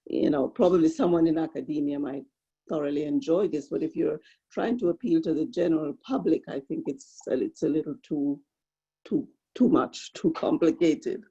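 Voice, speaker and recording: Woman, husky, plain-spoken, repeats herself, dull lo-fi sound. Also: sits low, normal speed, warm tone. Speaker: workshop participant. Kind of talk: online workshop discussion.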